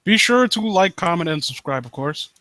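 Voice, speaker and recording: A man casually riffing as a host who reads and mocks stories, sarcastic and quick to swear. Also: sits high, expressive, bright, forceful tone, dry recording. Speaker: host reading and critiquing horror stories live. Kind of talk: casual riffing commentary with read-aloud passages.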